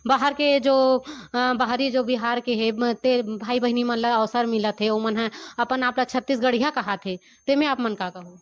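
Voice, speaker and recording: A woman presses the interviewee with a question. Her voice sits high and comes from a distance, and the recording sounds dull. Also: fairly even intonation, measured pace, hardly any pauses.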